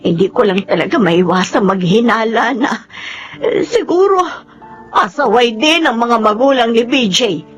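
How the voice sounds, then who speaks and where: A woman's voice: fairly high pitch, animated, moderate pace, close to an everyday voice. Judgmental grandmother over music played by a woman. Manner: pointed, insinuating. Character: suspicious, judgmental elderly grandmother. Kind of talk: radio drama acting.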